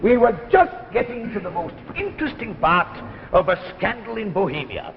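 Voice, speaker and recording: Old bookish professor: high, gravelly, excitable, musing. 1950s radio play, long reverb. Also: male voice, moderate pace, exaggerated voice. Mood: genial, enthralled. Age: older. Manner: theatrical, musing, gleeful. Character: elderly bookish father, eccentric, excitable professor. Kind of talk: 1950s radio drama acting. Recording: dull old broadcast, hall reverb, faint noise.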